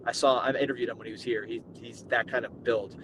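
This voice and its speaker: A male podcast host breaking down football recruiting in a loose, rambling way, often restarting his sentences and drifting into asides. His voice sits at an ordinary pitch. Clear background noise hums under the recording. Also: level tone, fast. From Cuban heritage. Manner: rambling, self-interrupting, conversational. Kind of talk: casual sports podcast chat.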